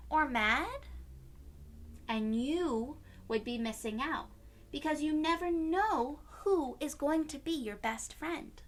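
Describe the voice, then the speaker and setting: A woman's voice: sits high, expressive, slow pace, voice pushed up. A woman voicing a curious, earnest young girl in a children's storybook, asking questions in simple, childlike phrases. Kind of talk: children's storybook read-aloud.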